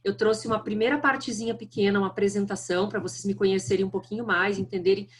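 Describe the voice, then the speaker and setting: A middle-aged woman speaks with a strong southern Brazilian accent, her pitch sitting in an ordinary middle range. She gives a guest lecture in a friendly, conversational way, often checking in with her listeners. It comes through a video call. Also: steady tone, fast. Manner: friendly, chatty, frequent "tá?" and "né". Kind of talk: online lecture.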